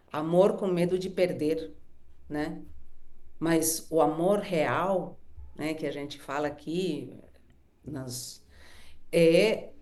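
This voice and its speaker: A woman facilitator on a live stream, speaking gently and reflectively about relationships, often ending thoughts with soft tag questions. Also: lowish voice. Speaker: workshop facilitator. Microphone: live stream from home.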